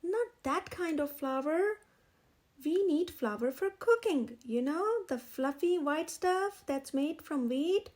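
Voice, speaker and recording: A woman's voice: sits high, lively intonation, slow pace, a little mellow. A woman voicing a big brown male rooster in a storybook, fed up but proud, with an emphatic, exclaiming manner. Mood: exasperated, bossy.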